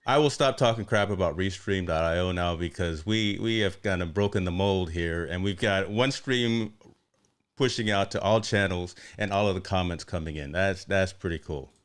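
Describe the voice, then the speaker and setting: Very deep-voiced upbeat male host. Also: normal speed. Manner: upbeat, repeats words, tags "right?". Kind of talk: casual livestream interview.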